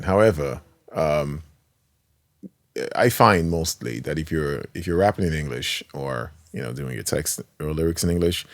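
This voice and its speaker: A man hosting a casual interview podcast, talking with enthusiasm, quick to laugh and swinging between loud and soft. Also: low-pitched, no echo, clean studio sound. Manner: animated, laughs easily, enthusiastic. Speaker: podcast host.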